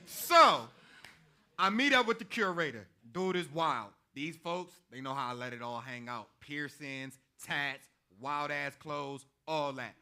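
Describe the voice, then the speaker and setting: Brash young male artist boyfriend, American, played by a man in a staged reading. High-pitched, piercingly forceful, slangy persuader. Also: measured pace, full character voice. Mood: boastful storytelling.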